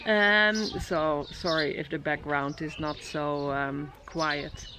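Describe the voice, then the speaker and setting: A woman from the Netherlands with a Dutch accent and a fairly low voice, asking her child questions in a soft, encouraging way. She talks casually, with easy fillers between questions. Birdsong carries clearly around her outdoor seat. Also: steady tone, slow, background noise. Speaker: vlogger and mother. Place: outdoors at a tented safari camp.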